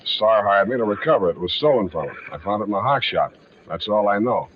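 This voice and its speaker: An actor playing a hard-boiled private detective in an old radio drama, dry and sardonic. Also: male voice, fairly low pitch, animated, quick pace, close to an everyday voice. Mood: wry. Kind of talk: 1940s radio acting with noir narration. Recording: muffled old radio recording, music behind.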